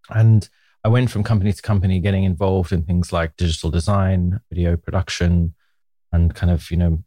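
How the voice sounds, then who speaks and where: Low-voiced man from Zimbabwe, reflective. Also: mellow, dry recording. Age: 40s. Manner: candid and reflective, laughs easily. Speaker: podcast guest, media co-founder. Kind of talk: relaxed interview storytelling.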